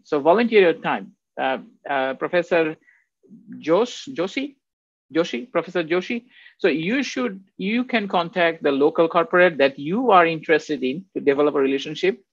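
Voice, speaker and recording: A man's voice: a little high, no echo. A man in his fifties from Bangladesh, giving a rambling, conversational faculty lecture from home, often checking in with "right?". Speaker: guest speaker. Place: home office. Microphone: video call. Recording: dull, dry video-call audio.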